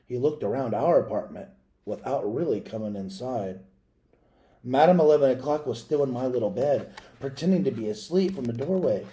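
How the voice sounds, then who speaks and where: A man reading a children's picture book aloud as a storyteller, in a measured, dramatic way with pauses and asides to listeners. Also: mid-range voice, animated, warm tone.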